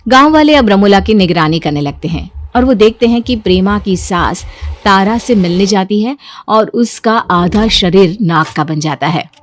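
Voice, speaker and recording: A woman's voice: mid-range voice, animated, moderate pace. A woman reading scripted story narration as the narrator of a moral tale, over music.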